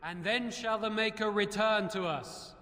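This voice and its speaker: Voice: mid-range voice, measured pace, pushed and bright, long reverb tail. A man playing a pious chanter in a fantasy game, leading prayer in a solemn liturgical manner. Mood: reverent, solemn.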